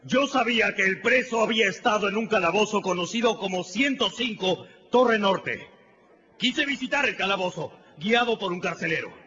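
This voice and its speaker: An actor playing a vengeful revolutionary tavern keeper giving oratorical, impassioned testimony in a radio drama. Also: male voice, high voice, expressive, normal speed, bright, forceful tone, slightly rough, heavily acted. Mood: accusing. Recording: dull, noisy radio drama recording.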